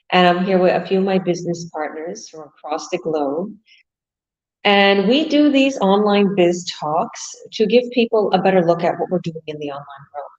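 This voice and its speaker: A woman's voice: mid-range voice, a little mellow, muffled recording. A woman hosting an online business talk show, chatting in an upbeat way with fillers. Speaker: host and business coach.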